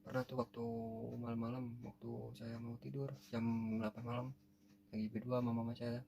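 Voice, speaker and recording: Gravelly flat-voiced man. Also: medium pitch, fairly quick, slight background noise. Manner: rambling, colloquial storytelling. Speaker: interviewee. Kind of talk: casual personal story.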